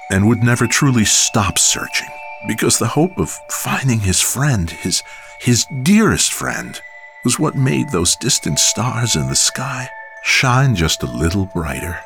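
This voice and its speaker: A man reading as a deep, solemn storyteller. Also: sing-song, a little slow, vocal fry, music in the background, full character voice. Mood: wistful. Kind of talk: dramatic storytelling.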